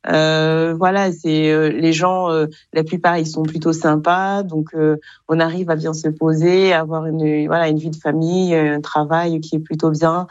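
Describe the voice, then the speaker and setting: A young woman from Rwanda, guest on a radio interview, who speaks hesitantly with many fillers and soft laughs. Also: sits low, flat delivery, moderate pace, few pauses, no room sound, dull audio.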